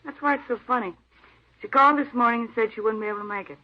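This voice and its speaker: Actress as chatty, high-voiced radio shop employee. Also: female voice, racing, lightly acted. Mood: suspicious, insistent. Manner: colloquial, emphatic. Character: chatty, opinionated, slightly defensive female store employee. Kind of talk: 1950s radio drama acting. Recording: dull old-time radio recording.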